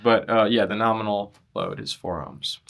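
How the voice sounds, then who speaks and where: A young man in a conversational interview, explaining his loudspeaker design work earnestly and in detail. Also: on the low side, fairly even intonation, normal speed, creaky. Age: young adult. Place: demo room at an audio show.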